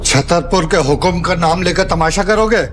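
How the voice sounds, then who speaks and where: A domineering businessman, played by a man in an audio drama. He is commanding, a little high in pitch, over prominent music. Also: normal speed, lightly acted. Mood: threatening. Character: domineering big businessman, adult male. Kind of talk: Hindi audio series acting.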